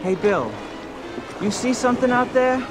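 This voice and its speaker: A man's voice: extremely high pitch, normal speed. A man playing a nervous young surfer in a 1970s audio drama. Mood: casual. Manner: nervous, rising to panic. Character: jumpy young male surfer Shorty. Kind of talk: 1970s radio drama acting. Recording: 1970s record with music under.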